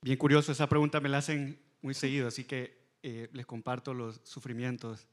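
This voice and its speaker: A man's voice: mid-range voice, flat delivery, fairly quick. A Salvadoran man speaking as a fintech panelist on a conference stage, answering frankly and with some self-deprecation.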